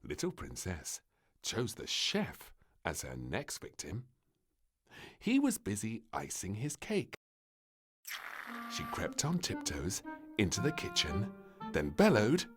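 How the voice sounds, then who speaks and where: A man narrating a children's story from a script, with expressive, deliberate phrasing. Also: lowish voice.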